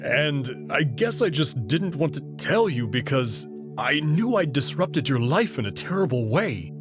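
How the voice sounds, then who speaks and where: A guarded young wolf prince from a sci-fi game, acted out by a man. He explains himself slowly and earnestly, with reluctant pride. Music sits clearly behind his words. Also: fairly high pitch, big pitch swings. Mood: reluctant, guilty.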